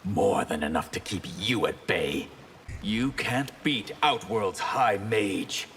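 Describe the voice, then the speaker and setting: A proud, disgraced high mage from a fighting game. He speaks low and slowly with imperious formality. Reverb and faint noise surround him. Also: expressive, fairly bright tone, exaggerated voice. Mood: haughty. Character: haughty disgraced male water mage, grave and commanding. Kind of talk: video game fight banter.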